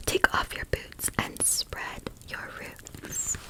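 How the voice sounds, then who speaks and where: A woman speaking in a gentle, affectionate, breathy whisper as an ASMR host reading a children's story. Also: slow pace, low-quality recording.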